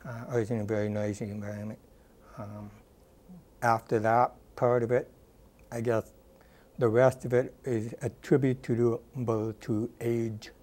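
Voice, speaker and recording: Mellow-voiced older man recalling his career. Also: mid-range voice, level tone. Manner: thoughtful, unhurried, many ums and uhs. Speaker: interviewee, rehabilitation counselor. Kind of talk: oral history interview. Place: dark studio, indoors. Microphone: lapel mic.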